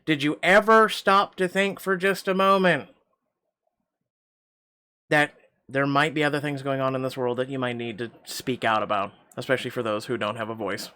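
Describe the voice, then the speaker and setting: A man's voice: medium pitch, fairly even intonation, moderate pace, pushed and bright. A middle-aged man with a slightly tight throat, reading a story game aloud and rambling with wry asides and many fillers. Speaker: game channel host.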